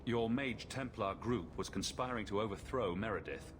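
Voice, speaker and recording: An actor playing a confident, wry champion in a video game, direct and a little flippant. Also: male voice, on the low side, moderate pace, lightly acted. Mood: earnest. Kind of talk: video game cutscene dialogue. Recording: clear background noise.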